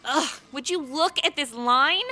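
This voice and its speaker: A woman's voice: sits high, a little slow, pushed and bright. A woman playing a brash, blunt young helper to Santa in a comedic radio play, flippant and sarcastic.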